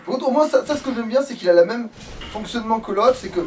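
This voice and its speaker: High-voiced sweary man gaming, distant mic. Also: lively intonation, fast talker. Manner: sweary, grumbling. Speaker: let's play gamer. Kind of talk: casual gaming commentary while playing. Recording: distant mic, faint background noise.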